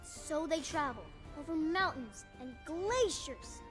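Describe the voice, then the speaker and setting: A woman's voice: high voice, lively intonation, slow, music in the background. A woman voicing a playful, boastful seven-year-old boy adventurer with childlike, exclamatory delivery for a video game. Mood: excited. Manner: playful, exclamatory, childlike mispronounced made-up words. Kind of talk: video game character voice lines.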